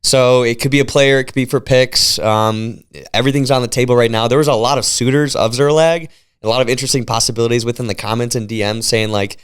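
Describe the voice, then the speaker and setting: A man's voice: mid-range voice, fairly even intonation, quick pace, clean tone. A man talking as league commissioner in a casual podcast chat, congested from a cold, explaining things in an upbeat way.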